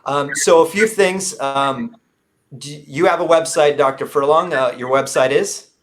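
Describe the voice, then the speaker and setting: A man's voice: fairly high pitch, measured pace. Upbeat male radio host. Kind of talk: radio interview hosting.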